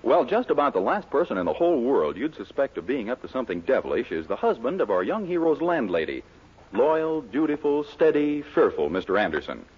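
A man's voice: medium pitch, big pitch swings, fairly quick, over music. An American man announcing from a script on 1940s radio, emphatic and polished. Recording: muffled 1940s radio recording.

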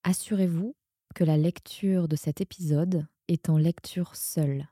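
Very soft, slow, breathy woman guiding meditation. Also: on the low side, fairly even intonation, no echo, studio quality. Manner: soothing, hushed, often breathy to a whisper. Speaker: yoga nidra guide and podcast host. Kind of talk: guided sleep meditation.

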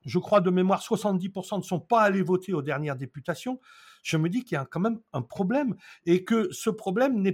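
An older man in his sixties with a husky, slightly breathy voice that sits a little high for a man. He talks in a reflective interview, trips over false starts, then drives his points home with force. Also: darkish tone. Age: 60s. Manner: hesitant, many restarts, then emphatic bursts. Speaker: interview guest, architecture and housing professional.

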